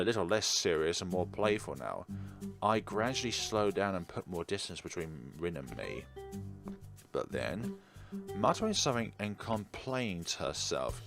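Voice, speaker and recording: A man with a fairly low voice reads visual novel narration as a let's play host. He slips in argumentative, teasing asides, with music playing behind him. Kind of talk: reading game narration aloud with ad-libbed commentary.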